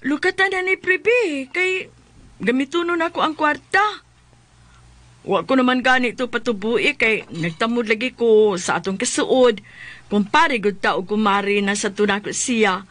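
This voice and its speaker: A man playing an insistent creditor and family friend, pressing and pleading haltingly in a comic radio drama with a Cebuano accent. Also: very high voice, bright, forceful tone, dry recording, exaggerated voice.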